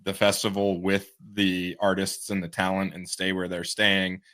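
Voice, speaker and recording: A man's voice: low voice, completely flat delivery, normal speed, fairly bright tone. A man co-hosting a casual news podcast, talking in an easygoing way and laughing often. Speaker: podcast co-host.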